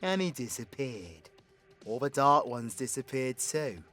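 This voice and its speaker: Man playing a swaggering British supervillain, animated delivery. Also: mid-range voice, measured pace. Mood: conspiratorial storytelling. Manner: rambling, teasing, dramatic storyteller, sweary. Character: cocky, foul-mouthed, flirtatious male supervillain, theatrical and tipsy. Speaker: audio roleplay voice actor. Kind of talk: villain ASMR roleplay monologue. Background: background music.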